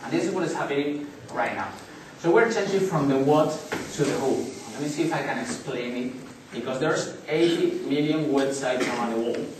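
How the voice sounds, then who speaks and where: A man in his thirties with a Spanish accent, giving a business presentation at a conference in English, pausing often with fillers and restarts. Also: mid-range voice, a little mellow, slight background noise. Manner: halting, many fillers and restarts. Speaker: conference speaker. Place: large hotel conference hall. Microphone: far camera in the audience. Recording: echoey hall recorded from far off.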